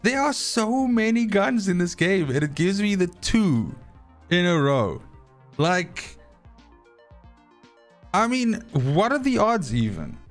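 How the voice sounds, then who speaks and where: A man's voice: sits high, big pitch swings, slow, long pauses, faint music behind. A man, an Afrikaans speaker, rambling and swearing freely as he streams a game and talks to his chat. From South Africa. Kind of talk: live gameplay stream chat.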